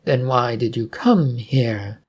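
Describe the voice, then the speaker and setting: Storybook figure: menacing bodiless voice, insistent and ominous, dark and husky, voiced by a man. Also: mid-range voice, takes it slowly. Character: disembodied menacing voice trapping travelers.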